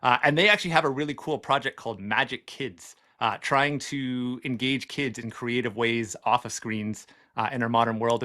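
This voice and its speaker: A man's voice: mid-range voice, sharp tone. A man hosting an online gathering, informally introducing guests and handing over to them with some hesitation. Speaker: host. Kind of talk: informal event introduction on a video call. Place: video call.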